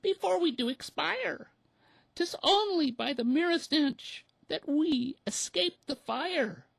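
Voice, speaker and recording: A man voicing a flock of breathless hens in a shrill falsetto squawk, in a rhymed storybook recitation. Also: lively intonation, takes it slowly, vocal fry, fairly bright tone, no room sound, heavily acted. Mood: pleading.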